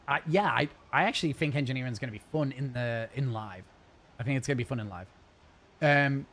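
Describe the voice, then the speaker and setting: A man's voice: mid-range voice, a bit bright. A man chatting casually and rambling while live-streaming a game from home. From north of England. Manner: chatty, rambling, fast-talking. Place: home gaming setup.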